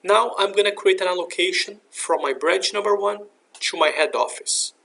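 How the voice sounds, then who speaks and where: A man giving a step-by-step software tutorial in an explanatory, instructional way. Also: high-pitched, moderate pace, gravelly. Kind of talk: scripted software tutorial narration.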